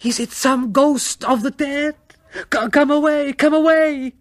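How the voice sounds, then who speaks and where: A fragile young wife from a gothic horror tale, acted by a male reader. The voice is raised and breathy, often half-whispered, with a trembling, melodramatic edge and an eerie, haunted air. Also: very high-pitched, fairly even intonation, a little slow, dry recording, clean studio sound, pitched above the performer's own voice. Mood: shrieking terror. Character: terrified, fragile young wife turned eerily dreamy.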